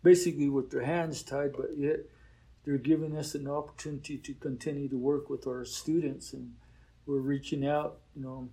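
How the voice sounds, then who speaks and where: Unhurried older man with a mellow voice. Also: a little high, level tone, rough recording. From Oklahoma. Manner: unhurried, reflective, frequent fillers like "you know". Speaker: teacher at a boarding school. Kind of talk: relaxed interview conversation.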